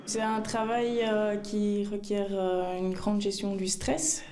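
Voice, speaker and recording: Mellow-voiced woman speaking hesitantly. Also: mid-range voice, level tone. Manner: hesitant, frequent pauses with "euh". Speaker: air traffic controller. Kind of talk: interview testimonial.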